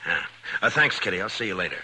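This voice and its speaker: An actor playing a firm, concerned frontier marshal in a 1950s radio western, plainspoken and probing. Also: male voice, sits low, very animated, fast talker, over loud music, rough recording. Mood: curious, probing. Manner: plainspoken, hesitant lead-ins, probing questions. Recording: old 1950s radio recording, faint noise.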